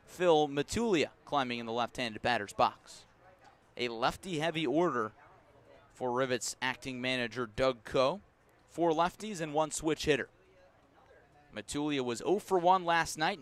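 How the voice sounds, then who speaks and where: American guy doing baseball radio play-by-play, voice a bit on the high side, describes every pitch. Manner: measured, descriptive calls that rise to excitement on big plays. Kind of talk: live radio sports commentary.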